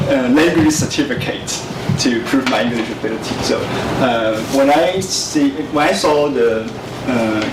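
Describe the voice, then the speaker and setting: Halting young man presenting. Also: mid-range voice. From Taiwan. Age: 20s. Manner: halting, with many fillers and self-corrections. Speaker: student presenter. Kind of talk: class presentation speech. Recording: echoey, distant mic with noise.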